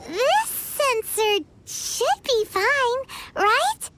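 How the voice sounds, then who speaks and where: A woman voicing a tiny, childlike, excitable fairy companion in an anime video game, squeaky, bubbly and blunt. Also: drawn-out words, heavy background noise, heavily acted. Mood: pondering. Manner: bubbly, refers to herself in third person.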